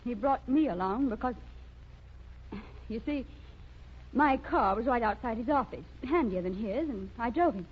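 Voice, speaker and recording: A woman's voice: on the high side, animated, normal speed, pauses often, husky. An actress playing a spoiled, frightened young woman who pleads and makes excuses in 1930s radio melodrama style. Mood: uneasy, defensive. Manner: breathless, pleading, self-justifying. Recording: muffled, lo-fi 1930s radio recording.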